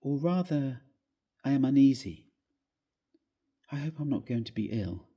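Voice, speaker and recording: A man voicing a gentle, uneasy young wife in a ghost story reading, hesitant and subdued. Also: mid-range voice, animated, unhurried, slightly rough, voice pushed up.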